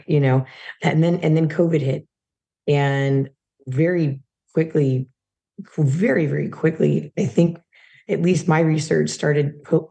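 A hoarse woman in her thirties or forties, a guest chatting in a rambling way about her work in sales. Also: deep voice, mellow. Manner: chatty, rambling, many restarts. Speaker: guest, supplement company sales rep. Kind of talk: casual interview chat.

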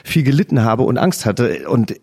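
Guy hosting a podcast chat, talks personal and reflective, medium pitch. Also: big pitch swings, normal speed, gravelly, darkish tone, slightly rough. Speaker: podcast host. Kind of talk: conversational podcast interview.

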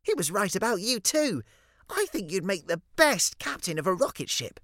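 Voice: medium pitch, big pitch swings, normal speed, a bit bright, no room sound, studio quality, pitched above the performer's own voice. A storyteller voicing an eager, helpful young boy in a children's story. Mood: admiring.

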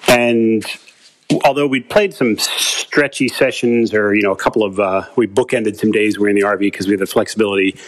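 A man's voice: on the low side, fairly even intonation, a little fast, poor audio. A man calling in to a podcast with a casual, rambling, easygoing voice message. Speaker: podcast caller.

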